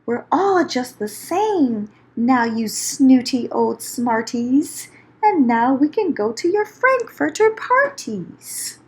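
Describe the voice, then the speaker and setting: Woman as boastful storybook creature, high and loud. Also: sing-song, takes it slowly, full character voice. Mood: taunting. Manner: loud, taunting, exclaiming. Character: gloating upstart storybook creatures, cheeky and boastful. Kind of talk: children's storybook read-aloud.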